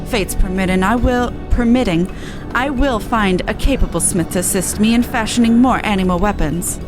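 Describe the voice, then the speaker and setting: A woman's voice: medium pitch, normal speed, over music, natural voice. A woman voicing an earnest, polite young male scholar in a game, speaking formally and precisely.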